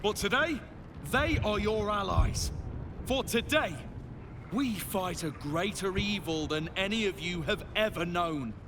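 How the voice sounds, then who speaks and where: High-pitched, earnest war leader. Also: male voice, lively intonation, noisy background. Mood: rousing resolve. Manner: oratorical, stirring, measured. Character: earnest, rallying male military leader. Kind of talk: scripted rallying speech.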